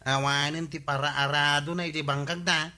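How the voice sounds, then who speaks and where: A man's voice: fairly high pitch, level tone, normal speed, sharp tone. A man playing an inquisitive, teasing village chief in a radio comedy drama, with an Ilocano accent.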